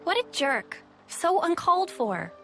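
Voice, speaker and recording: Bright, high-pitched perky girl soldier. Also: unhurried, soft background music, exaggerated voice. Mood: indignant. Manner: bouncy, expressive, informal. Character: perky, petite young girl soldier, loyal and bubbly. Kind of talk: video game cutscene acting.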